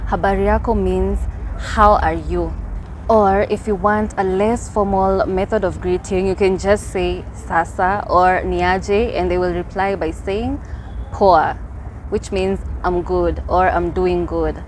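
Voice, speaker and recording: A woman's voice: fairly low pitch, fairly even intonation, slow pace, a little hiss or hum. A young Kenyan woman with a Kenyan accent, vlogging outdoors in a city park and giving upbeat travel tips. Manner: upbeat, explanatory, warm welcome to viewers. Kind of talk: casual travel vlog.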